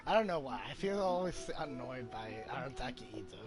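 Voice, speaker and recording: A man's voice: mid-range voice, expressive, a little fast. A man giving casual, hesitant gameplay commentary as a game streamer, with an informal way of talking. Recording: game music behind the voice.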